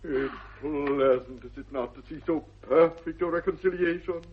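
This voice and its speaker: A man's voice: fairly high pitch, normal speed, slight background noise, close to an everyday voice. A man playing a pompous, benign English country clergyman with an unctuous, sententious manner in a radio comedy. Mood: benign, pious. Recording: dull 1960s radio recording.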